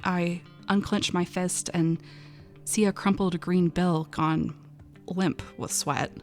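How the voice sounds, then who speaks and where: Female narrator for eerie audio drama: frightened young woman, expressive first-person delivery, breathy under tension. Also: on the low side, takes it slowly, soft background music. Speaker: voice actor narrating a short story.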